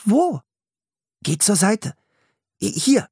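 A man voicing an eager, tender-hearted young girl with an excitable, expressive manner in a children's audiobook. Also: sits high, very slow, husky, no room sound, clean studio sound, voice pushed up, exaggerated voice. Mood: excited. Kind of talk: audiobook children's story character voice.

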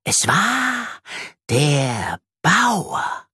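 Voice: mid-range voice, big pitch swings, normal speed, no echo, studio quality, voice pushed up. A storyteller voicing a mysterious, prickly female spider, whispery, secretive and slightly haughty. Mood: secretive, irritated.

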